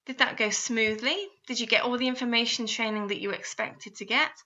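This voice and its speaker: A woman's voice: a little high, fairly even intonation, fairly quick, sharp tone. A woman narrating a scripted instructional guide, speaking straight to the listener and often posing guiding questions. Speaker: instructor narrating a guidance video. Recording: slightly dull, lo-fi voice-over.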